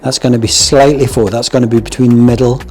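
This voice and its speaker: A middle-aged man with a Scottish accent talks through a golf lesson in a loose, chatty way. His voice sits at an everyday middle pitch, and he keeps checking in with a quick "yeah?". Faint music plays underneath. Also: flat delivery, a little mellow. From Scotland. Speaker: golf coach. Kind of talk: casual instructional talk.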